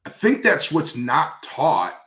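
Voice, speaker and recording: A man's voice: fairly high pitch, huge pitch swings, takes it slowly, a bit bright. A man speaking conversationally in an industry interview, coaching emphatically and repeating key phrases for stress. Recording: roomy, echoey sound.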